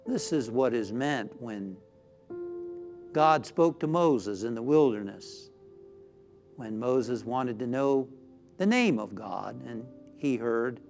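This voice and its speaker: Male meditation leader, mid-pitched, slow and deliberate spiritual delivery with soothing pauses, clear musical bed. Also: expressive, darkish tone. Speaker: minister leading a guided meditation. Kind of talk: guided meditation, spiritual talk. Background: background music.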